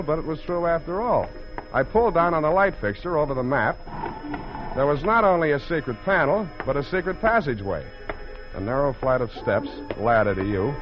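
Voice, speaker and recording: A man's voice: a little high, close to an everyday voice. Man acting tough private eye, American, wisecracking, sardonic, 1940s radio drama, muffled recording, music behind. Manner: sardonic, clipped, flowery narration. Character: wry, tough, wisecracking male private detective.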